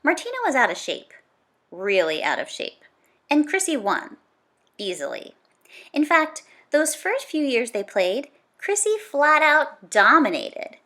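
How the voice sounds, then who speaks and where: A woman with a fairly high, highly animated voice reads a children's book aloud. Her delivery is dramatic and emphatic, swinging up and down in pitch and leaning hard on key words for effect. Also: measured pace. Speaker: storybook read-aloud reader. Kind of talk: expressive read-aloud narration of a children's nonfiction book.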